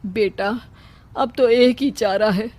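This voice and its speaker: An older village widow voiced by a woman from a cartoon story, anxious and motherly. She talks slowly in a fairly high voice. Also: darkish tone, no room sound. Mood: resigned, worried. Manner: worried, maternal.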